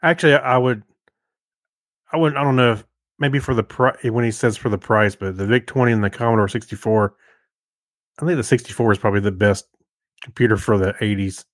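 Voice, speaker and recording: A man's voice: on the low side, fairly quick, long pauses, dry recording, studio quality. A man co-hosting a podcast, chatting in an informal, rambling way. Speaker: podcast co-host. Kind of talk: casual chat.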